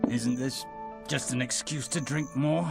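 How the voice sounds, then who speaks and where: A sarcastic fantasy grunt in a game, grumbling in a heavily gravelly, gruff voice. Loud music runs behind him. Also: male voice, mid-range voice, fairly even intonation, unhurried. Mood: scornful. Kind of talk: video game cutscene acting.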